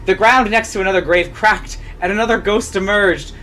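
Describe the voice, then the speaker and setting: A woman's voice: mid-range voice, steady tone, normal speed, fairly bright tone, a little hiss or hum. A woman reading fan fiction aloud as a dramatic story narrator.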